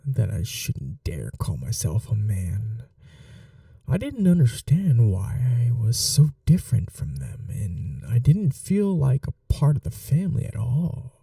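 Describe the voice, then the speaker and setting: A man voicing a bitter, possessive young male vampire in an ASMR audio roleplay, speaking softly and confessionally and sometimes dropping to a whisper. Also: mid-range voice, gravelly, no room sound, studio quality, distant mic, heavily acted. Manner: slow, soft-spoken, confessional, drops to whispers.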